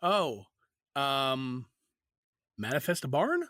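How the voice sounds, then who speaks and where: A man playing an easygoing, playful husband with a husky voice in a fantasy audio drama. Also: mid-range voice, sing-song, slow pace, fairly bright tone, dry recording, studio quality. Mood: easygoing, placating.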